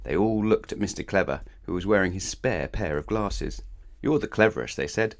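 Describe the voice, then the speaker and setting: Deep-voiced man reading a children's story. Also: moderate pace. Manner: animated, playful, addresses young listeners directly. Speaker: storybook reader. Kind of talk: expressive scripted children's story read-aloud.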